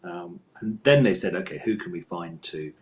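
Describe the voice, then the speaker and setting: Middle-aged man, lowish voice, interview guest, conversational, thoughtful, hesitant, self-rephrasing. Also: expressive, normal speed, a little mellow. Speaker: interview guest, author and audio drama scriptwriter.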